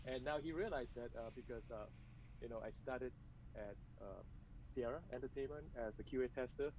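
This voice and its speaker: A man from Malaysia, answering interview questions over a video call with hesitant pauses. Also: mid-range voice, darkish tone, a little hiss or hum, rough recording. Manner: hesitant, many filler pauses. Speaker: game company director.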